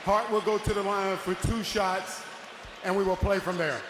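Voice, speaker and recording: A man's voice: high voice, fairly even intonation, normal speed. A man announcing official rulings as a referee in a formal, declarative way in a large arena. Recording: echoey arena PA, clear noise.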